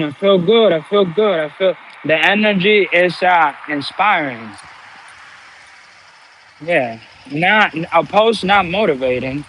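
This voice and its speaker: Slow, preachy man. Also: a little high, fairly bright tone, slight background noise, low-quality recording. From Dominican Republic. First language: Spanish. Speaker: livestream host. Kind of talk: motivational live-stream talk.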